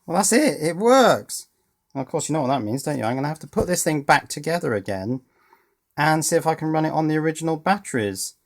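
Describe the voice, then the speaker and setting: A man's voice: a little high, sing-song, quick pace. A man giving unscripted teardown commentary as a hobbyist presenter, thinking aloud with dry, understated humour.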